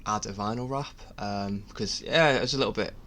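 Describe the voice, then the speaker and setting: A man narrating his own DIY project in a casual voice-over, speaking in a halting, self-effacing way. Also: on the low side, pushed and bright. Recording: clear background noise.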